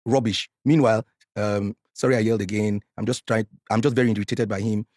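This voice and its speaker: Nigerian guy in his 40s, talks fast and gets loud n worked up, dry mic. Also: no echo. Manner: animated, mixes Pidgin, gets loud and high when worked up. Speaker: podcast guest. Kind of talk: emotional personal story, podcast.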